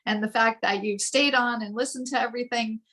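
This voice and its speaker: A woman's voice: on the high side, steady tone, a little fast, pushed and bright. A woman legislator speaking on a video call panel, encouraging and often restarting her sentences. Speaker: state legislator.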